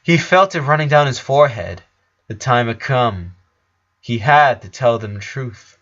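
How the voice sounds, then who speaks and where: A man's voice: mid-range voice, lively intonation, unhurried, fairly bright tone. A man reading a story aloud as a scripted narration, on a slightly dull recording. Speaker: fan fiction reader.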